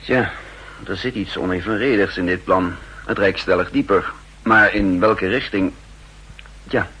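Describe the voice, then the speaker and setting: Deep-voiced, shrewd radio spy. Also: male voice, measured pace, sharp tone, breathy edge, exaggerated voice, poor audio. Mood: calm, sly. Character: shrewd, calm male spy posing as counterintelligence chief. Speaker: lead character and narrator. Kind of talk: 1960s Dutch radio play acting. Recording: hissy, humming 1960s radio recording.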